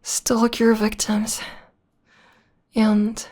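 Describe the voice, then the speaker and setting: A woman playing a possessive, obsessed vampire lover in an ASMR roleplay, speaking softly and coaxingly, often in a whisper. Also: on the high side, monotone. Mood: devoted, menacing. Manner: soft, slow, coaxing. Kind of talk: ASMR roleplay, intimate and slow.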